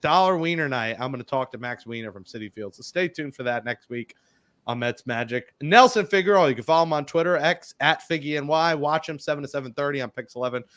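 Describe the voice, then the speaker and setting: A man's voice: a little high, expressive, fast, a bit bright. Joking man hosting a sports podcast. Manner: joking, self-mocking, fumbles foreign words. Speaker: podcast host. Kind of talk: casual sports podcast chat.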